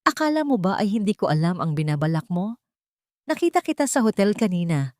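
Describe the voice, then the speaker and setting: A woman's voice: medium pitch, very animated, brisk, darkish tone, dry recording, natural voice. Cold, scheming male villain for audio fiction, read by a woman, threatening, swooping intonation, dry sound. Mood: cold menace. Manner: threatening, taunting. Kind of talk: audio story character dialogue.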